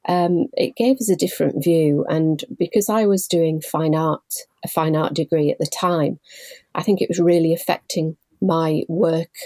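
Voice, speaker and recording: A woman in her forties from Leeds, a guest telling her story in a measured, thoughtful way with frequent pauses. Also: deep voice, steady tone, dark tone, no echo. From Leeds, England. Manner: measured and thoughtful, pausing often. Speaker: guest, patient involvement manager. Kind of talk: reflective personal storytelling.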